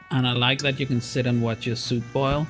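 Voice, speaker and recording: A man's voice: mid-range voice, flat delivery, normal speed, a bit bright, soft background music. A man in his forties chatting casually while he streams a game, playful and talkative, speaking into a headset in a small room. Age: 40s. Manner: chatty, playful, talks to chat while playing. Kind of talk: casual live stream chat.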